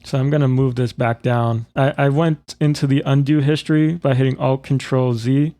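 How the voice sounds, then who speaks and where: A man presenting a music software tutorial in a casual, informal way, thinking aloud as he works. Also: medium pitch, steady tone, normal speed, noisy background. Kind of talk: casual spoken software demonstration.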